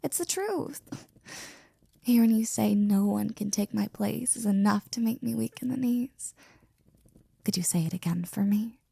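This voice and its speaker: A woman playing a devoted, flirty and jealous maid in an intimate ASMR roleplay, speaking softly and close to a whisper with teasing, courtly wording. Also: mid-range voice, measured pace, long pauses, no room sound, heavily acted. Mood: smitten. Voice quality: soft, breathy, often near whispering. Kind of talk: ASMR roleplay, intimate one-sided scene.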